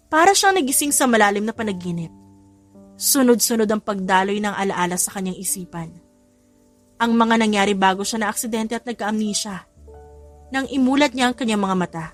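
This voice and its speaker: A woman narrator reading a scripted dramatic story. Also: mid-range voice. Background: background music.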